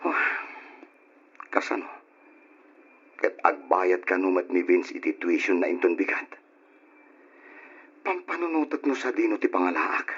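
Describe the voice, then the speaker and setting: Weary stubborn dad in an old radio drama, low raspy voice. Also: male voice, a little slow, pauses often, a bit bright, heavily acted. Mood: troubled. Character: tired, stubborn, proud father of a poor family. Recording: muffled lo-fi radio recording.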